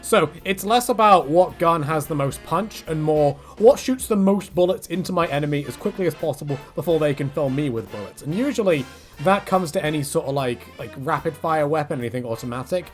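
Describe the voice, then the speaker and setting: A man's voice: a little high, lively intonation, brisk, soft background music. A man casually answering viewer questions in a rambling way, with many fillers and restarts.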